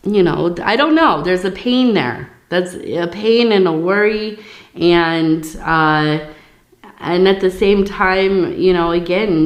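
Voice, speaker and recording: A woman reading tea leaves in a soft-spoken ASMR style, hoarse from losing her voice, rambling with many fillers and a dry mouth. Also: fairly low pitch, echoey room. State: losing her voice, sore throat. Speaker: tea leaf reader.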